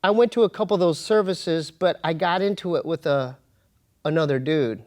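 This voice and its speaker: An American man telling a personal story as an interview guest, in a casual, slangy and halting but emphatic way. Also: a little high, a little mellow. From United States. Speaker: interview guest, comedian and hip-hop artist.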